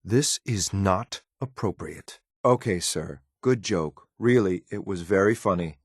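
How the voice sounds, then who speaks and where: An actor playing a loyal, coldly disdainful synth soldier in a video game, speaking in a clipped, military, deferential but blunt way. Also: male voice, low voice, a little slow, slightly rough, no echo, studio quality, exaggerated voice. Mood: disapproving. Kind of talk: video game companion voice lines.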